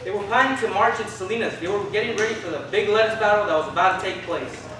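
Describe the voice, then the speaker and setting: A man acts out a spirited young Chicano activist in a staged reading. The voice is high and the telling colloquial, sprinkled with Spanish words. It is heard from far off in a very echoey, noisy room. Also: fast, natural voice. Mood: awed, earnest. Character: Philip, spirited Chicano youth recalling 1970 activism. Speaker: stage reader in a documentary play. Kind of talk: theatrical monologue, staged reading.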